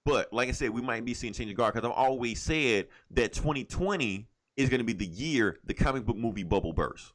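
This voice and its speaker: A man with a medium-pitched voice speaks as a podcast co-host. He is opinionated and emphatic, posing rhetorical questions and restating phrases in loose, conversational language. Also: expressive, a little fast, hardly any pauses. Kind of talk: casual opinionated pop culture podcast talk.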